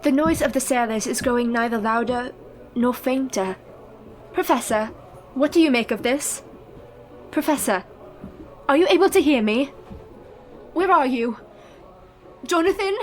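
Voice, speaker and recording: A woman's voice: high-pitched, measured pace, long pauses, soft background music. A woman playing a brave, composed young Victorian wife in a radio play, speaking formally and earnestly. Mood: defiant.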